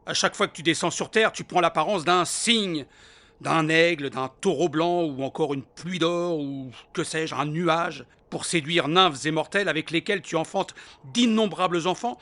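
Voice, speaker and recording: A man's voice: a little high, moderate pace, bright, forceful tone. A man playing a sarcastic, needling sea god. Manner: wry, mock-formal, needling. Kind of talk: comic audio drama.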